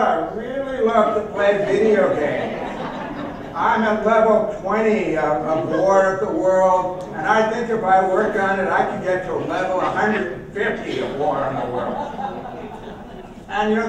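A man's voice: high-pitched, pitched above the performer's own voice. A man playing a breezy, self-centred young millennial in a keynote role-play, defiant and casually emphatic. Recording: echoey hall from far off, noisy.

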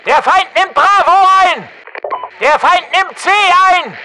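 A man's voice: extremely high pitch, lively intonation, takes it slowly, very noisy, exaggerated voice. A man playing an alert soldier who gives clipped status reports over a military radio in a video game. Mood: urgent warning.

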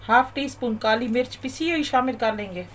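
A woman hosting a cooking channel, calmly talking through a recipe step by step in a voice-over. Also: a little high, brisk, slight background noise. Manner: calm, methodical instructions. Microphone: voice-over over overhead shots of a bowl.

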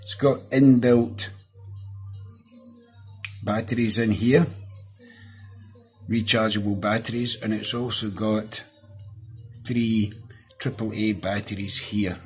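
A man's voice: on the low side, steady tone, takes it slowly, pauses often, soft background music. A middle-aged Scottish man giving a casual, chatty, down-to-earth hands-on product review. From Scotland. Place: small indoor room at a desk.

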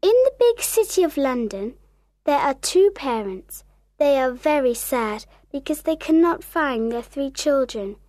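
A gentle, motherly young girl from a storybook, voiced by a woman, high in pitch. She speaks slowly and earnestly, with tenderness, in a dry recording. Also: big pitch swings, no echo. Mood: sorrowful storytelling. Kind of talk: storybook character acting.

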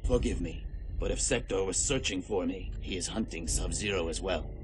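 Male actor as earnest ninja, deep voice, polite, formal, game cutscene, music behind. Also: moderate pace, lightly acted. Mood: earnest, wary. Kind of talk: video game cutscene acting. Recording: music under the voice.

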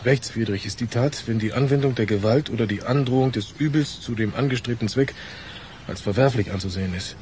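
A man's voice: medium pitch, brisk, a little mellow, slight background noise, natural voice. An actor playing a troubled, reproachful working man in a radio drama. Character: troubled, conscience-stricken, reproachful male workman.

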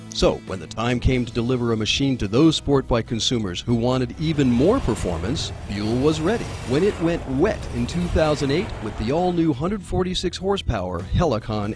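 A male narrator delivers scripted documentary voice-over in a smooth, polished manner. His pitch moves in an animated, expressive way, and a music bed plays clearly behind him.

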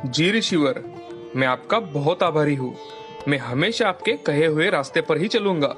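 A man playing a humble, respectful king in an animated folktale. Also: fairly high pitch, moderate pace. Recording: music bed behind the voice.

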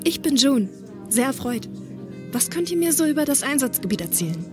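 A woman's voice: high voice, very animated, brisk, music in the background. A woman playing a polite, direct newcomer to a police unit in a German audio drama.

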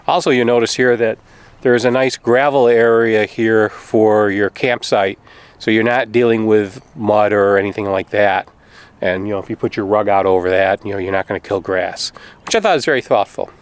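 A man's voice: fairly low pitch, fairly even intonation, moderate pace, dry recording. A middle-aged man who makes RV travel videos, chatting casually to the camera outdoors. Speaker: RV travel vlogger. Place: outdoors at a campground. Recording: clear outdoor background noise.

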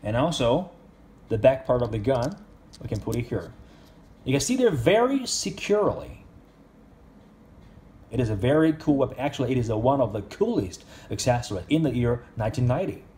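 A man's voice: medium pitch, big pitch swings, a little fast, pauses often, dark tone, slight background noise. A man reviewing an action figure in casual speech, with simple, non-native English phrasing. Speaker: toy reviewer.

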